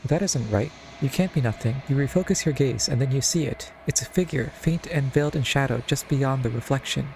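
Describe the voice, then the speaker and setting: A man's voice: mid-range voice, brisk, hardly any pauses, darkish tone, slight background noise. A man streaming a video game, casually reading choices aloud in an understated, laconic way. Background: faint game music.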